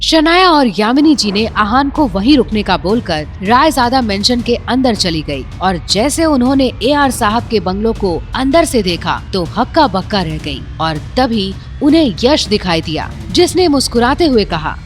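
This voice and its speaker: A woman's voice: a little high, big pitch swings, normal speed, hardly any pauses, soft background music. Woman narrating a suspenseful audio story. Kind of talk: scripted dramatic narration.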